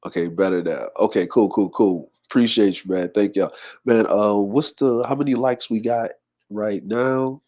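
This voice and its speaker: A man of forty talks easily to his livestream audience in a fairly low voice. He reads out comments, greets viewers by name and drifts into his own thoughts, unhurried and relaxed. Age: 40s. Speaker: livestream host. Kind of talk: casual livestream chat.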